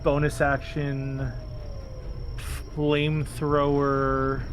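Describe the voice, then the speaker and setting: A man's voice: a little high, completely flat delivery, very slow, a little hiss or hum. A man speaking casually as a player in a tabletop roleplaying game. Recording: loud music under the voice. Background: loud background music.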